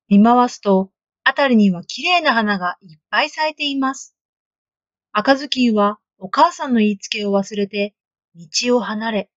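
Gentle woman reading a storybook. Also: mid-range voice, no echo, highs cut off. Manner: clear, gentle, expressive narration. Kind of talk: children's storybook read-aloud.

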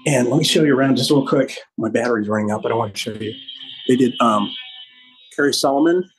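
A man in his fifties hosts a casual walk-through with keen, halting delivery. His voice is mid-pitched and very husky, picked up indoors on a handheld phone during a video call. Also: soft background music, rough recording. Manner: enthusiastic, halting while walking and talking. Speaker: podcast host giving a studio tour. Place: indoors, walking through studio offices and hallways. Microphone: phone held at arm's length on video call.

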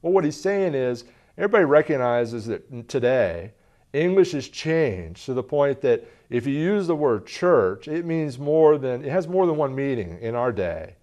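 A man's voice: mid-range voice, big pitch swings, normal speed, darkish tone. A man in his forties teaching a Bible lesson from a lectern in a television studio, earnest and gesturing as he reads passages aloud.

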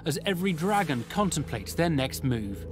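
A man's voice: a little high, big pitch swings, normal speed. A man with a British accent delivering dramatic scripted television narration. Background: music.

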